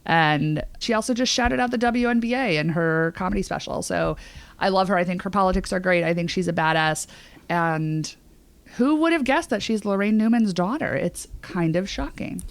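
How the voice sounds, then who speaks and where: A woman with a fairly low voice chats casually as a podcast co-host. She is emphatic and gushing, with slangy phrasing. The sound is dry, with little room in it. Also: animated, normal speed, no room sound.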